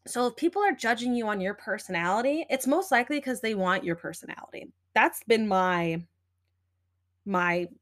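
A young adult woman hosting a solo podcast, talking in a loud, animated, emphatic way with plenty of fillers. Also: mid-range voice, normal speed. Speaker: podcast host. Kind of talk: casual solo podcast talk.

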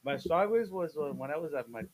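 A man in his fifties, a guest chatting casually on a podcast, playful and jokey, with sudden loud bursts and laughs mid-sentence. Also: a little high, expressive, brisk, a little hiss or hum. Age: 50s. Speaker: guest, host's father.

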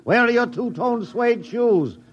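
An actor playing a wordy, boozy Broadway quack doctor who hems and exclaims in 1950s radio drama style. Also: male voice, extremely high pitch, expressive, unhurried. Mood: amused astonishment. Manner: hemming, exclamatory, mock-learned. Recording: dull vintage radio recording.

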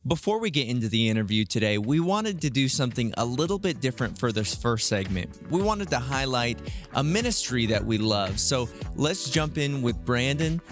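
A man hosting a podcast, reading an upbeat, deliberate intro. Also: medium pitch, sing-song. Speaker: podcast host. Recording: faint music behind.

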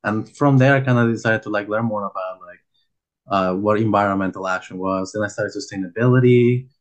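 A young man from Peru with a slightly low voice chats easily as an interview guest over a video call. He meanders and leans on fillers as he talks. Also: a little fast. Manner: relaxed, rambling with many fillers. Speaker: guest, nonprofit founder. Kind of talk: casual interview chat.

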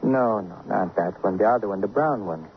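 An actor playing a dry, philosophical doctor in a radio drama, deliberate and gently lecturing. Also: male voice, lowish voice, lightly acted. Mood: dry, calm. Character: dry, philosophical male doctor tending a patient. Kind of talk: 1950s radio drama acting. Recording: muffled old radio recording, faint hiss.

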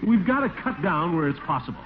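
A man playing a flustered, pompous but soft-hearted middle-aged uncle, fussy and full of exclamations, in 1940s radio comedy style. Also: on the high side, big pitch swings, quick pace. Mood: cautious, placating. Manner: fussy, exclaiming, chuckling hesitations. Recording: tinny, noisy 1940s radio recording.

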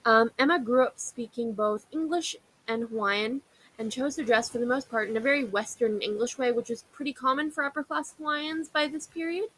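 A woman's voice: a little high, brisk, fairly bright tone, rough recording. A young woman hosting a history podcast, telling the story in a casual, chatty way, full of fillers and wry asides.

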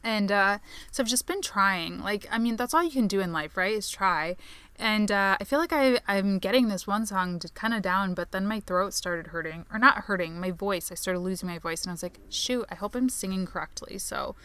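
A woman's voice: mid-range voice, moderate pace, a bit bright, smooth, dry recording. Chatty podcast host woman, tired voice. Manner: chatty, filler-heavy, upbeat. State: tired, strained voice. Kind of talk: solo podcast monologue on advertising.

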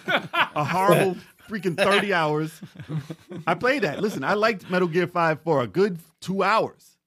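An animated man co-hosting a casual video game podcast. His voice sits slightly high and swings widely in pitch as he delivers opinionated, jokey banter. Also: measured pace. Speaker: podcast host.

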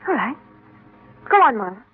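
A woman's voice: on the high side, very animated, moderate pace, slightly rough, soft background music, heavily acted. An actress playing a frightened, suspicious young woman in an old radio drama, stammering and urgent. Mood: guarded, urgent. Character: frightened, suspicious young heiress, near hysterical. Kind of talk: 1940s-50s radio drama acting. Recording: lo-fi old radio recording, faint noise.

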